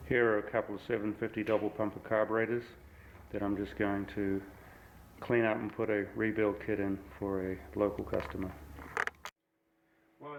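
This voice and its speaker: A man with a mixed New Zealand and American accent, an engine builder talking plainly in a shop vlog, with many ums and uhs. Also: fairly low pitch. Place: office. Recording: echoey room, noisy camera sound with breakup.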